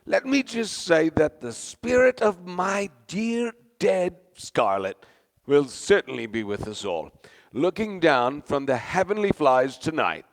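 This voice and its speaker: Pompous, hard-drinking stage star in reverb. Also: male voice, on the high side, animated, slow pace. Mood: grandly mournful. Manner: theatrical, pompous, stately oratory. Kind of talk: theatrical radio play acting. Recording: long hall reverb.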